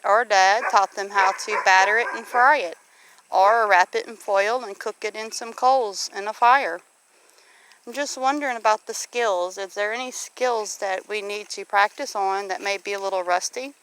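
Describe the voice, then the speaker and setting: A middle-aged woman with a Southern US accent talks casually in a folksy, questioning way, like a homesteader vlogging. Her voice is bright and forward at an average pitch. She is recorded outdoors with light background noise. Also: fairly even intonation, normal speed, rough recording. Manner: folksy, asks the listener rhetorical questions. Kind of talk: casual chat. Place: outdoors on a homestead near farm animals.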